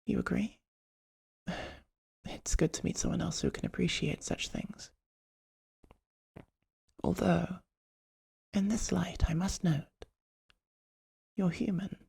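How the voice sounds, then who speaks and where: Shy, husky vampire artist performed in soft ASMR. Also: medium pitch, full character voice. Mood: curious, courteous. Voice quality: breathy, husky, often near whisper. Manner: polite, hesitant, gently formal. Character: shy, polite vampire artist, earnest and poetic. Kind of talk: soft-spoken ASMR roleplay.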